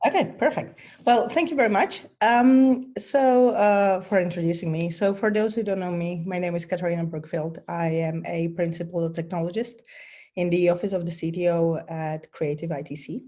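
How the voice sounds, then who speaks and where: A woman with a bad cold giving a technical presentation over a video call, explaining step by step with frequent ums and throat clearing. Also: lowish voice, fairly even intonation, normal speed, mellow.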